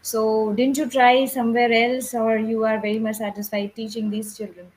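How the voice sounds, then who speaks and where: A woman's voice: on the high side, level tone, normal speed, a little mellow, rough recording. Encouraging female tutor, faint background noise. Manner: encouraging, frequent backchannels. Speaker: English tutor. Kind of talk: interviewing a learner.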